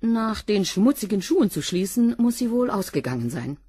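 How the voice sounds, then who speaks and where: A woman's voice: mid-range voice, big pitch swings, moderate pace, warm tone, husky, dry recording, studio quality. An actress playing a curt, unfazed cleaning woman who answers bluntly and laconically in a radio crime drama. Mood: matter-of-fact.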